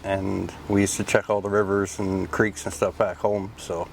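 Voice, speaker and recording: Middle-aged nova scotia guy, low voice, short clipped answers, bit of hiss behind. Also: flat delivery, moderate pace, creaky, poor audio. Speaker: guest. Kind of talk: casual interview chat. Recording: faint background noise.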